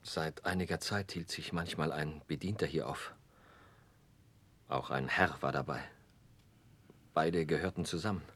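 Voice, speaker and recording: Husky, heartbroken young working man. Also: extremely low pitch, fairly bright tone, exaggerated voice. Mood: grave, reluctant. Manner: halting, earnest, deferential. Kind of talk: 1960s German radio play acting.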